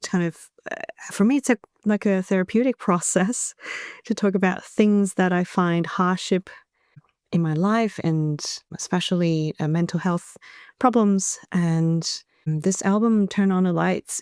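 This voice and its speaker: A woman in her thirties from Taiwan, an English speaker, talking in a soft-spoken, thoughtful way as an interview guest. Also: lowish voice, steady tone, a little slow, smooth, no room sound, clean studio sound. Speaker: singer-songwriter guest. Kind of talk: relaxed interview chat.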